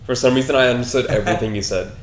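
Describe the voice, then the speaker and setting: A man's voice: medium pitch, steady tone, very fast, a little hiss or hum. A young man in his twenties, a podcast guest, chatting in an easygoing, curious way with casual slang. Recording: echoey room.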